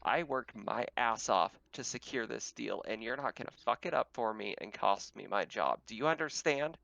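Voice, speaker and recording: A man's voice: fairly low pitch, animated, normal speed, creaky, a bit bright, no room sound, muffled recording. A man voicing a tough, angry female corporate dealmaker, scolding and swearing in a tabletop game. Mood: angry, threatening. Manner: blunt, scolding, foul-mouthed.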